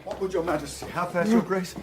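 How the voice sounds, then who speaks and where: A man's voice: sits high, quick pace, lightly acted. An actor playing a deferential, anxious lord attending a king in a Shakespearean radio drama. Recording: roomy echo, faint noise.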